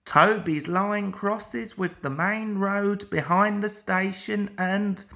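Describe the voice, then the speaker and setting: A woman's voice: mid-range voice, steady tone, slow, fairly bright tone. A woman reading a children's storybook aloud as scripted narration. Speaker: storybook reader.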